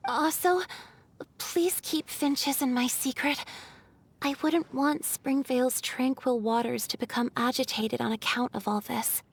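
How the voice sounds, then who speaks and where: High-voiced, wistful water spirit. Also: female voice, steady tone, breathy edge, full character voice. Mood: quietly resolved. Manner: measured, earnest, melancholic. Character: gentle, wistful female water spirit, lovelorn and fragile. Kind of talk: video game cutscene acting.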